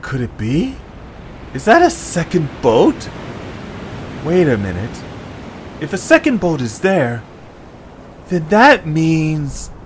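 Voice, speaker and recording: Man voicing eager young male adventurer, highish pitch, very animated, exclamatory, audio drama, faint noise. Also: slow, long pauses, exaggerated voice. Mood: disbelieving. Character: excitable young male adventurer leading a stranded group. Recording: clear background hiss and noise.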